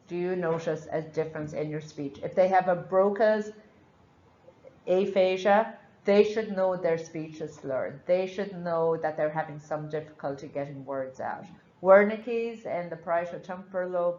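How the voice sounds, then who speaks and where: A woman with an Irish accent lectures a class in a lowish voice, frank and chatty as she explains things through examples. The sound is echoey and a little dull, like a classroom captured on a basic recorder. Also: a little mellow. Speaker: nursing instructor.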